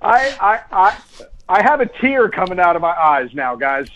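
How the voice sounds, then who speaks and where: A male sports announcer speaks as a radio guest in a fairly high voice. He is conversational and emphatic, often repeating a phrase for stress. The line sounds somewhat dull and lo-fi. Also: sing-song, normal speed, fairly bright tone. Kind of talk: sports talk radio interview.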